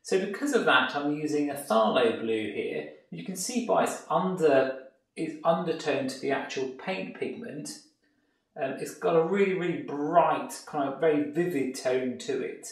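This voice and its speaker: A man's voice: fairly high pitch, moderate pace, far from the mic. A man with an English accent teaching a painting lesson, explaining each step in an easygoing way as he works. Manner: relaxed explanatory, thinking aloud while painting. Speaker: art tutor. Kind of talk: painting tutorial demonstration. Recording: echoey room, mic set back, soft music.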